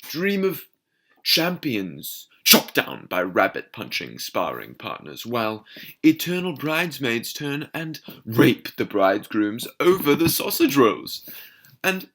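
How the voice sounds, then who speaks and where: A man's voice: medium pitch, huge pitch swings, a bit bright, voice pushed up, heavily acted. A young man playing a frustrated, overshadowed theatre critic in a dramatic stage monologue with a British accent. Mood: feverish, resentful. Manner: dramatic, deliberate delivery with emphatic swells. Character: frustrated, overshadowed second-string male theatre critic, ranting bitterly.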